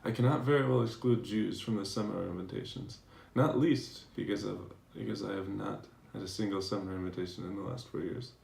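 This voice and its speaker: A man playing a defensive, aggrieved professor in a dramatized letter reading, speaking in a formal, measured way. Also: lowish voice, fairly even intonation, gravelly, darkish tone. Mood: indignant, defensive. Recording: roomy, echoing recording.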